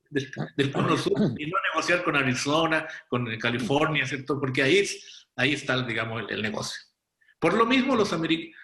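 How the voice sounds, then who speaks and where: A man's voice: a little high, a bit bright, echoey room. A man on a panel show, giving political analysis in an explanatory way, with asides and self-corrections.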